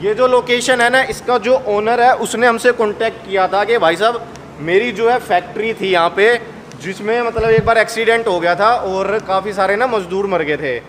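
A young man with a high-pitched voice hosts a casual vlog. He explains things step by step in chatty, colloquial speech. The sound rings in a big empty building, with clear noise behind him. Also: normal speed, a bit bright. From India. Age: 20s. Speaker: host of a haunted-location exploration vlog. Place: large derelict factory and overgrown grounds at night. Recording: echoey derelict building with clear background noise.

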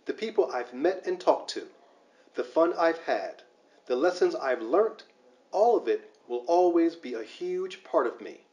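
Male narrator, scripted and reflective, animated pitch movement. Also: unhurried, highs cut off.

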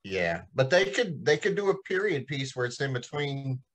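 A man's voice: medium pitch, big pitch swings, moderate pace, fairly bright tone. A man in his forties talking casually as a podcast co-host on a video call. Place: indoors in a small home room.

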